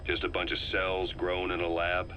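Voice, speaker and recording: An actor playing a shrewd male intelligence operative, delivering a measured, earnest briefing in a video game tape. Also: low-pitched, completely flat delivery, pushed and bright, a little hiss or hum.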